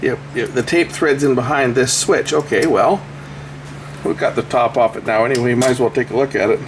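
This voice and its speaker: Guy doing casual repair commentary, mid pitched voice, thinking out loud while he works, steady hum of room noise behind him. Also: brisk. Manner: unscripted, thinking aloud as he works. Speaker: electronics repair video host.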